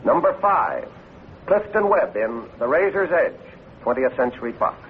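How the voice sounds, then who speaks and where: A man's voice: on the high side, big pitch swings, moderate pace. A man formally announcing award nominations in a 1940s announcing style. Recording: tinny old radio broadcast, faint noise.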